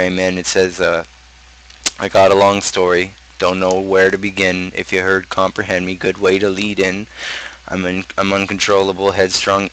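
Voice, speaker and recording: Low, monotone man talking casually. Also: clean tone, low-quality recording. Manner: halting, repeats and restarts phrases. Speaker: streamer and rapper. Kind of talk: casual talk, explaining own song lyrics.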